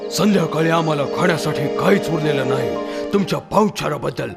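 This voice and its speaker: Gravelly, highish heron leader. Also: male voice, brisk. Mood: polite, reluctant. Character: shrewd, polite male heron, leader of a flock. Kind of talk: children's animated fable acting. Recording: loud music under the voice.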